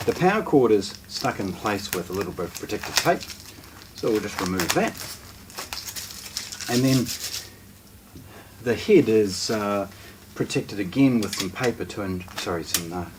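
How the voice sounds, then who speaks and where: A man's voice: lowish voice, animated, normal speed, pauses often, background noise. A man with a New Zealand accent presenting a casual product unboxing, explaining things informally step by step as he handles them.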